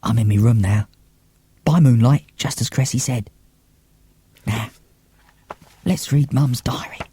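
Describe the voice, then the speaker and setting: Man voicing an earnest child, husky and urgent. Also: mid-range voice, measured pace, warm tone, pitched below the performer's own voice. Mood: eager. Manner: urgent, informal, wide-eyed. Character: eager, earnest child, excitable and emotional.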